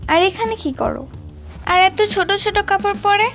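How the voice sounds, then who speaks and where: A woman's voice: very high-pitched, very animated, moderate pace, creaky, fairly bright tone, soft background music. A woman voicing a cheeky, teasing young girl in a cartoon. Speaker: cartoon narrator and lead voice.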